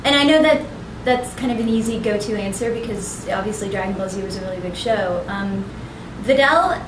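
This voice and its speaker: A young woman with a bubbly, young-sounding voice, chatting in an animated way as an interview guest at a convention. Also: medium pitch, fairly quick. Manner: animated, chatty, fills with ums and restarts. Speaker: voice actress, guest. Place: busy convention hall. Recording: echoey, distant, noisy convention ambience.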